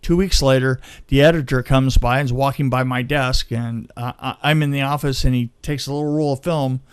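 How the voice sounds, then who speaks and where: A man's voice: medium pitch, steady tone, a little fast, clear, resonant tone, dry recording. A man telling behind-the-scenes film stories in a casual, conversational way, acting out the exchanges he quotes. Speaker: film crew veteran, podcast storyteller. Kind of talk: casual anecdotal storytelling.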